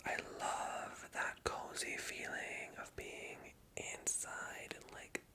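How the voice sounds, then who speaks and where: An ASMR creator speaking in a soft whisper, chatting casually and rambling along as they address viewers directly. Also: slow. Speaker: ASMR video creator and storyteller.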